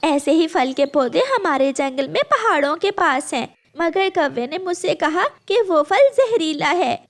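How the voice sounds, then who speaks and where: A woman's voice: extremely high pitch, expressive, a little slow, hardly any pauses, full character voice. A woman voicing a sweet, trusting little female bird in a cartoon, polite and earnest. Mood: shocked.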